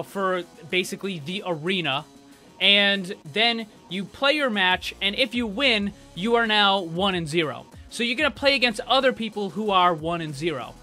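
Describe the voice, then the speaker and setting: A man's voice: high voice, sharp tone. A man hosting a gaming video, giving casual, informal and chatty news commentary. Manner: informal, chatty, frequent "like" and "you know". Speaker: YouTube gaming host.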